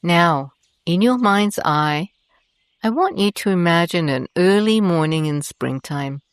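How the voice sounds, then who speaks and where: A woman host narrating a guided meditation in a gentle, soothing and deliberate manner. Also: fairly low pitch, lively intonation, smooth, no room sound.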